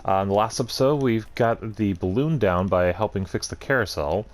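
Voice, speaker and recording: A man's voice: on the low side, normal speed, a little hiss or hum. A man hosting a gaming video, thinking aloud in casual, hesitant commentary as he plays. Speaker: gaming channel host playing a game.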